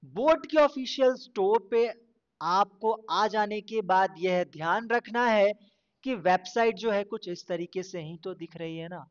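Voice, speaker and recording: A man's voice: high-pitched, expressive, a little slow, clean tone, highs cut off. A man hosting a screen-recorded shopping tutorial, speaking in a casual, instructive way and mixing English words into his talk. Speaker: YouTube channel host.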